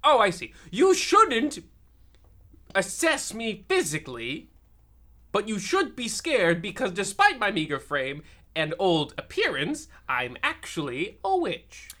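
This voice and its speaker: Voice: medium pitch, huge pitch swings, slow pace, bright, forceful tone, heavily acted. An actor playing a haggard, cranky old witch with a theatrical, vengeful manner in a comic radio play. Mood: menacing, gloating.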